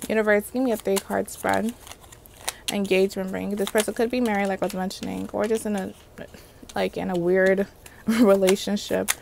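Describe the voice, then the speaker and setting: A woman with a Haitian accent reads tarot in a casual, chatty way, checking in with her viewers again and again. Her voice has a gravelly edge at a middle pitch. Faint hiss sits under the recording. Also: little pitch movement, moderate pace. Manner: chatty, repeats "okay?" and "universe, clarify" while pulling cards. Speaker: tarot reader. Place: indoors at a table covered in card decks.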